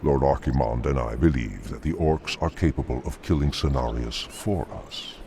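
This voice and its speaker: An actor playing a cunning demonic dreadlord in a fantasy game, with a gravelly, formal, sinister delivery. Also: male voice, very deep voice, normal speed, mellow, a little hiss or hum. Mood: sinister, persuasive. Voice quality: gravelly, growling. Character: cunning demonic dreadlord, coldly scheming and persuasive. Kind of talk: fantasy video game acting.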